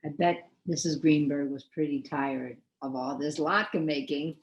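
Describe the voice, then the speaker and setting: A woman hosting a children's story time, giving gentle commentary and prompts to young listeners. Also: sits low, a little slow, muffled recording.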